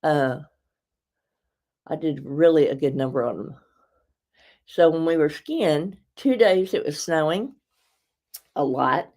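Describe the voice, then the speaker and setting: Casting: woman host, low and a touch raspy, chatty and rambling. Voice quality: slightly raspy. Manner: chatty, rambling, laughs often, warm asides to viewers. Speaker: host presenting beauty products. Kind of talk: casual live product demo.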